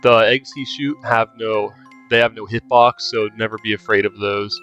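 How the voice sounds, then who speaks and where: A man's voice: lowish voice, fairly even intonation, moderate pace, fairly bright tone, faint music behind. A man giving casual game guide commentary as a walkthrough narrator, in a lo-fi recording.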